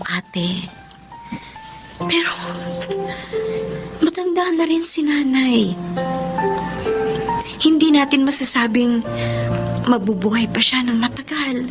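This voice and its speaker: An earnest younger daughter in a Filipino radio drama. She speaks slowly in a high voice, measured and pleading, with music clearly underneath. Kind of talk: Tagalog radio drama acting.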